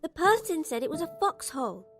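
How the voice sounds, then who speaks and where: Small girl: very high, lively, childlike and insistent, audio drama over music. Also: a little fast, heavily acted. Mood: earnest, insistent. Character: earnest, innocent little girl, daughter on a walk.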